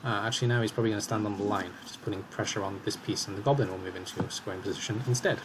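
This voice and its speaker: A man commentating his own match in a calm, analytical way as he talks through each move, slightly hoarse. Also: on the low side, rapid-fire, noisy background. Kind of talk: casual game commentary.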